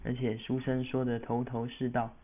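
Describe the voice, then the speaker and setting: A teenage young man reads scripted story narration for an amateur radio drama. His pitch is medium, and the recording is thin, like a phone line. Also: monotone, moderate pace, heavy background noise. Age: teens.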